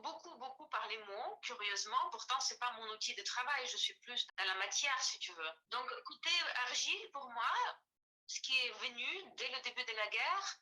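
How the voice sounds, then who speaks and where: A woman's voice: on the high side, bright, forceful tone, poor audio. A woman in her forties from Ukraine, a native Ukrainian speaker, talking as an artist guest on a video call, with many fillers and restarts. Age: 40s. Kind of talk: casual interview.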